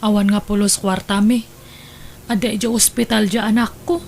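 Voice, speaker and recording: A woman's voice: medium pitch, fairly even intonation, normal speed, vocal fry, a little mellow, close to an everyday voice. A woman playing a resentful, needy younger sister with a grudging manner in a Filipino radio drama. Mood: complaining. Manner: sullen, grudging. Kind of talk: Ilocano radio drama acting.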